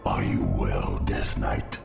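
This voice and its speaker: Undead monster king for a fantasy game: grave, formal, heavy croaking fry, music underneath. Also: extremely low pitch, fairly even intonation, exaggerated voice. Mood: urgent, grave. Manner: slow, solemn, formal. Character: ancient undead monster king, solemn loyal servant.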